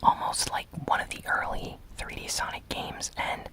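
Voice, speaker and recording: Whispering ASMR gaming news host. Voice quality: breathy, unvoiced whisper. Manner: soft, unhurried, conversational.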